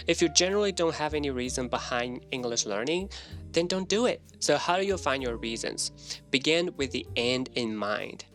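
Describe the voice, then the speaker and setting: A man whose first language is Chinese speaks to camera in an earnest, casual vlog voice. His pitch sits in the middle, and he keeps a friendly, explaining tone with short laughs between points. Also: fairly bright tone. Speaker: English learner and vlogger. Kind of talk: casual scripted talk to camera.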